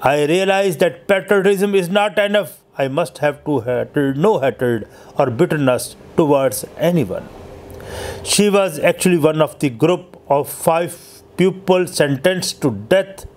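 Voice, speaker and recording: Slow, higher-pitched man narrating history. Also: a little mellow. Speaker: host telling inspirational true stories. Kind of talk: scripted narration of a historical story.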